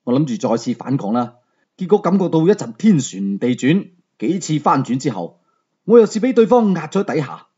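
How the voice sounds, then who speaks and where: A male storyteller with a Hong Kong Cantonese accent narrates in a colloquial, conversational style. His voice is fairly high and husky, with lively swings in pitch. The recording is a little dull and lo-fi. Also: brisk. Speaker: audiobook storyteller. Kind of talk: dramatic first-person story narration.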